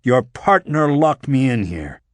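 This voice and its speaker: A man voicing a tough, defiant police detective in an audiobook, gruff and blunt in manner. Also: mid-range voice, very animated, measured pace, no echo. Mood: angry. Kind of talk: audiobook character dialogue.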